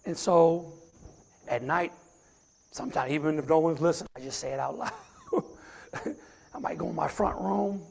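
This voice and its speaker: Middle-aged deacon, raspy and husky, preaching a sermon. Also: male voice, fairly high pitch, moderate pace, pauses often. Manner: recites scripture from memory with rising emphasis.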